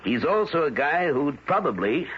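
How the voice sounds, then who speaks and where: An actor in an old-time radio drama playing a skeptical, hard-nosed newspaper editor who talks in blunt, challenging bursts. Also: male voice, a little high, sing-song, moderate pace. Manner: blunt, challenging, clipped questions. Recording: muffled, noisy old radio recording.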